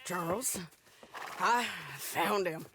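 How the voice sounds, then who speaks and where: A woman's voice: lowish voice, very slow, noisy background. A terse, driven American frontier gunwoman. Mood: urgent, determined. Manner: terse, urgent. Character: Sadie, tough driven female frontier bounty hunter. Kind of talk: dramatic video game acting.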